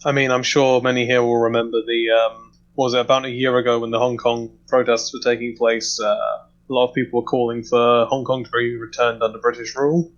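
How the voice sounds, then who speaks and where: Muffled, flat-voiced man chatting. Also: medium pitch, fairly quick. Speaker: panelist. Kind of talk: casual political discussion. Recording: muffled lo-fi voice audio.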